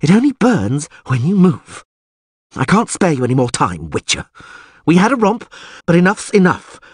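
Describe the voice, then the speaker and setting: A man's voice: fairly high pitch, huge pitch swings, normal speed, husky, no echo, clean studio sound, voice pushed up, heavily acted. A man voicing an imperious, ruthless sorceress in an audiobook, clipped and commanding through gritted teeth. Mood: scornful, commanding. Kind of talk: audiobook character voice.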